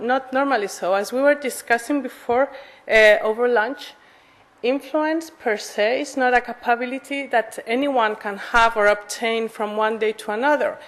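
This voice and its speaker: Higher-pitched Spanish-accented woman giving formal speech. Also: a bit bright, low-quality recording. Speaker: association president, lobbyist speaker. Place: conference in a parliament hall.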